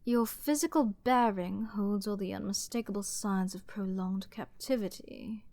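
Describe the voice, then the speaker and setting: Woman playing a haughty, slow-spoken princess. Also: medium pitch, mellow, dry recording, lightly acted. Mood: cool appraisal. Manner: haughty, theatrical, drawn-out aristocratic phrasing. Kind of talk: dramatic fantasy audio roleplay, ASMR-like close delivery.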